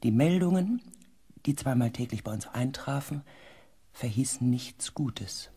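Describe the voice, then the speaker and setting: An actor playing a grave expedition member who narrates in the first person, measured and sometimes hushed, in a sci-fi radio play. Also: male voice, medium pitch, lively intonation, husky. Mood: grave, eerie. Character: grave male expedition member recounting an eerie mission.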